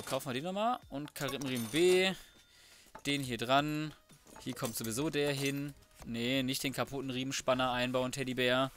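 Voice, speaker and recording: A man with a mid-pitched voice commentates a game casually. He talks in loose, colloquial phrases, half to himself. There is noise and clicking behind him. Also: a bit bright. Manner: colloquial, muttering to himself while working. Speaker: let's play host. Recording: clear background noise, mouse clicks.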